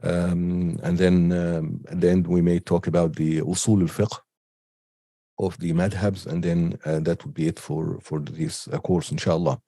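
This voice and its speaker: Low mellow guy teaching a class, has a cold, lots of ums, dry sound. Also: level tone, a little slow, vocal fry, dry recording. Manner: hesitant, many ums and restarts. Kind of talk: unscripted online lecture.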